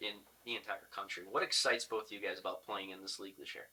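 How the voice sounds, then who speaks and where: American male sports podcast host. Rapid, very punchy and bright, chatty and casual with guests. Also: deep voice, steady tone, rough recording. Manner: chatty, informal, affirming, says "man".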